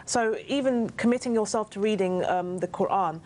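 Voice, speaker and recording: A woman's voice: mid-range voice, normal speed, darkish tone. A woman on a discussion panel, speaking candidly about her personal experience. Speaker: panel guest. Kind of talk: casual discussion.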